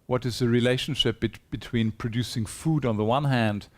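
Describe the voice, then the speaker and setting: A man's voice: medium pitch, steady tone, normal speed. A man giving a conference presentation in a hall, earnest and persuasive, putting rhetorical questions to his audience. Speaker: conference speaker.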